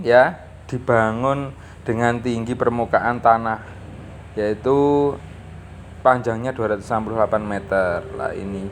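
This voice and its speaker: A man's voice: medium pitch, slight background noise. A man teaching a lesson, reading from a textbook and explaining, often adding little checking tags. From East Java, Indonesia. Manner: didactic, punctuates phrases with checking tags. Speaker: school teacher.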